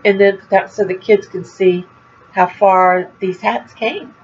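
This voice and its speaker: A woman's voice: mid-range voice, fairly even intonation, slow pace. An older woman chatting at home about her crochet projects, rambling and easygoing, with a stuffed-up nose and a small cough. The sound is a bit dull, with a faint hum of the room behind her. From Florida. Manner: chatty, rambling, laughs at herself. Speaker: crochet vlogger. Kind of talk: casual chat to camera.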